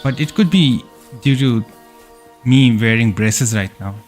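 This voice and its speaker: A man casually commenting while streaming a game, chatting with viewers and thinking out loud. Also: mid-range voice, measured pace, soft background music. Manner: chatty, answers chat, thinks aloud with fillers.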